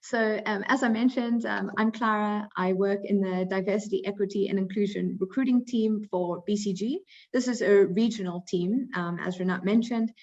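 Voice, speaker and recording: A woman's voice: mid-range voice, fairly even intonation, normal speed, a little mellow. South African woman hosting a webinar. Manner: friendly, frequent um and uh fillers. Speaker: webinar host, recruiting coordinator. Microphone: video call. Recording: slightly dull video call audio.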